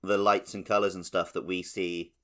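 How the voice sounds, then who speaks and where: A man's voice: deep voice, flat delivery, moderate pace, a bit bright. A man co-hosting a gaming podcast, talking casually and conversationally with the others. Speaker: podcast co-host.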